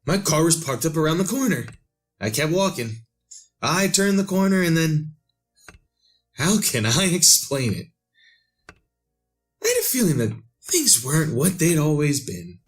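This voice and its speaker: An actor playing an obsessed, bewildered man in a retro radio drama, with halting, melodramatic delivery. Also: on the high side, sing-song, faint music behind. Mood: haunted, uneasy. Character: obsessed, bewildered man craving knowledge of the future.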